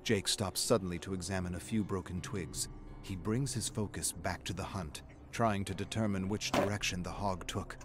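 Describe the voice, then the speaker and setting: Male narrator, lower register, dramatic scripted storytelling, faint music bed. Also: fairly even intonation, moderate pace. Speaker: story reader. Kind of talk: dramatic scripted narration with acted inner dialogue. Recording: music behind the voice.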